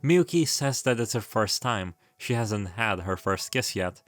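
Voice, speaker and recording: A man's voice: fairly low pitch, animated, a little slow, fairly bright tone, no room sound. A man giving a casual retrospective narration as a video essayist, with wry asides and rambling commentary. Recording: music behind the voice. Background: background music.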